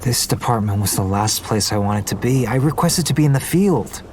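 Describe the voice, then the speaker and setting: An actor playing a reserved, sullen young heir in an audio drama, with a rainstorm behind him. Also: male voice, mid-range voice, expressive, normal speed, darkish tone, lightly acted. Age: young adult. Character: reserved, sullen young rich heir entering military service. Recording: clear rain and thunder noise.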